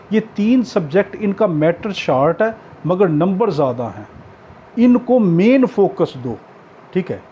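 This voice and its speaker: Pakistani male tutor, high-pitched mellow voice, informal instructive lecture delivery. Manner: instructive, repeats key points for emphasis. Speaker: law exam tutor. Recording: dull lo-fi audio with background noise.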